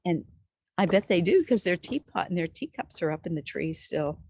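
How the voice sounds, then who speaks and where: A woman reading a picture book aloud to young children outdoors, expressively, pausing to put questions to the listener. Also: on the low side, animated, gravelly, a little mellow, breathy edge, no echo, studio quality. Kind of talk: animated read-aloud storytelling for young children. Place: outdoors in a backyard with trees.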